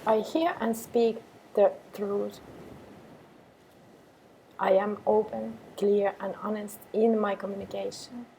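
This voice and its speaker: A woman meditation teacher guiding affirmations in a calm, measured way with pauses between phrases. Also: medium pitch, gravelly, a little hiss or hum, low-quality recording. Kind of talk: guided meditation with repeated affirmations.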